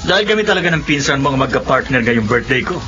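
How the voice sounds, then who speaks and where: A man's voice: mid-range voice, very animated, fast talker, pushed and bright. An actor playing a smitten, hot-tempered young man in a radio drama. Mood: breezy. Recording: muffled lo-fi audio under clear music.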